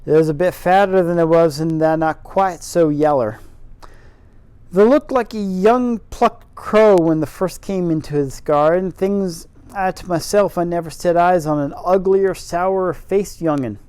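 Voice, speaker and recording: A man voicing a cross, sturdy old male gardener in a put-on rough Yorkshire accent, grumbling in thick dialect. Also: high voice, unhurried, a little mellow, dry recording. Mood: blunt. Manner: gruff, grumbling dialect speech.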